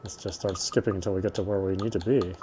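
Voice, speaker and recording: A man's voice: deep voice, steady tone, fast, mellow, slight background noise. A man giving casual gameplay commentary, thinking aloud in a chatty way. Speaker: game streamer and host.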